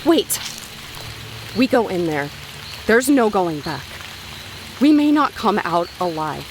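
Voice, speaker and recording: A woman's voice: mid-range voice, sing-song, slow pace, poor audio. An actress playing an earnest, anxious young woman companion who pleads with emotion, in an audio drama. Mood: pleading. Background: music.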